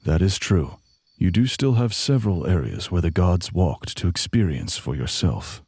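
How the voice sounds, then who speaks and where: Male actor as genial fantasy traveling companion, low husky voice, formal wording, worldly, audio drama. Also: animated, darkish tone, no echo, studio quality, heavily acted. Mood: composed. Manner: formal, measured phrasing.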